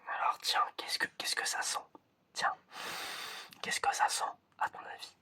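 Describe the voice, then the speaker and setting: An ASMR creator whispering a casual, encouraging roleplay test, softly repeating words. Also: measured pace. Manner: casual, encouraging, informal phrasing. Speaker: ASMR creator playing an examiner. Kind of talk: ASMR roleplay, testing the listener's senses.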